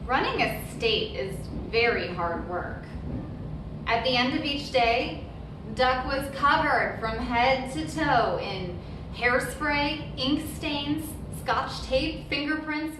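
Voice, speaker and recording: A woman reading a children's picture book aloud as a storyteller, with deliberate, emphatic phrasing and brief asides. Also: sits high, expressive. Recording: very echoey room, distant mic, noisy background.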